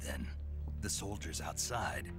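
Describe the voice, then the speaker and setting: An actor voicing a stoic, tough American special forces soldier in a video game, gruff and clipped in delivery. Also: male voice, very deep voice, expressive, slow pace, over music, heavily acted. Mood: guarded, calm. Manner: terse, clipped, matter-of-fact. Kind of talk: dramatic video game voice acting.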